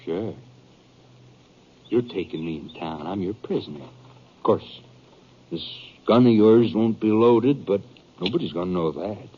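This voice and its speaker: A treacherous cowboy outlaw in an old radio drama, speaking rustic American English in a lowish voice. He is sly and desperate. The sound is muffled, with faint noise. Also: expressive, a little slow, long pauses. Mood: scheming. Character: cunning, desperate young outlaw, a gunfighter's treacherous friend. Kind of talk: 1950s radio western acting. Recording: muffled, hissy 1950s radio recording.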